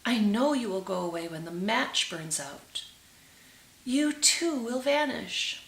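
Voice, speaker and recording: A woman's voice: mid-range voice, expressive, slow, vocal fry, fairly bright tone, exaggerated voice. A woman voicing a poor, wistful little girl in a storybook, crying out and pleading. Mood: desperate pleading. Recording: roomy, echoing sound.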